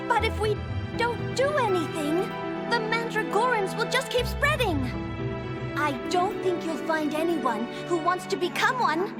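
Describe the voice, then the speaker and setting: A woman's voice: very high voice, expressive, measured pace. A woman playing a hesitant, anxious young girl in a video game drama, sounding worried. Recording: loud music under the voice. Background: loud music.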